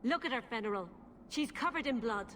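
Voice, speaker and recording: A woman's voice: on the high side, expressive, normal speed, a bit bright, a little hiss or hum. An actress playing a hostile, accusing elven clanswoman in a video game cutscene. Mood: angry, accusing. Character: hostile, accusing female elven clan member. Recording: long hall reverb.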